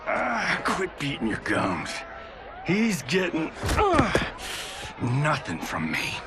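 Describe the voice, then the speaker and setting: An actor playing a brash, wisecracking 1940s American army officer in a video game, with cocky old-time slangy patter. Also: male voice, a little high, wildly swooping pitch, takes it slowly, noisy background, exaggerated voice. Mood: defiant. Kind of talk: 1940s movie tough-guy acting in a game cutscene. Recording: music behind.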